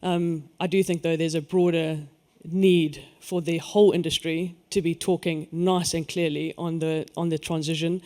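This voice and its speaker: A man's voice: fairly high pitch, level tone, normal speed, few pauses, darkish tone, clear, resonant tone. A man with a South African accent speaking on a conference panel, stressing key words and then letting his voice fall away. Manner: emphatic, lifts his voice on key points then trails off. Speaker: energy industry panelist.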